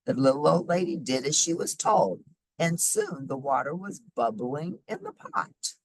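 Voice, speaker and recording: A woman narrates a children's storybook with hugely animated pitch and a husky tone. The sound is close and dry, and she leans deliberately on repeated phrases. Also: dry recording, rough recording. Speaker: storybook reader.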